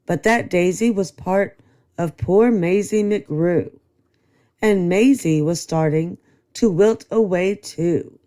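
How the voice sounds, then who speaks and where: A woman reading a rhyming children's book aloud as a storyteller, in a steady, rhythmic delivery. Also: fairly low pitch. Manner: slow, rhythmic rhyming read. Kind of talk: children's read-aloud narration.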